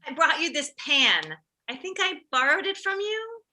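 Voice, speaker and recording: Actress as a bright, sharp-voiced anxious mother. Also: high voice, big pitch swings, normal speed, full character voice. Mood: anxious, prying. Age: middle-aged. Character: anxious, overprotective, combative mother of a teenage boy. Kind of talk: comedy script table read.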